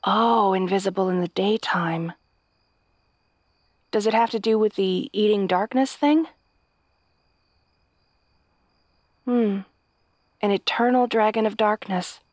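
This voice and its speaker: A woman's voice: mid-range voice, unhurried, pauses often, no room sound, clean studio sound, lightly acted. A woman playing a kind, hapless young princess in a fantasy audio roleplay, talking to the listener in a nervous, stammering, chatty way. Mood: puzzled, curious. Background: night sounds with crickets.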